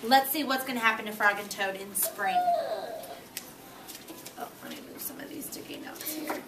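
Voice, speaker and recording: A woman's voice: on the high side, lively intonation, normal speed. A woman teaching young children through an interactive read-aloud, prompting them with questions in a classroom. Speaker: elementary school teacher. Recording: echoey noisy classroom, far from mic.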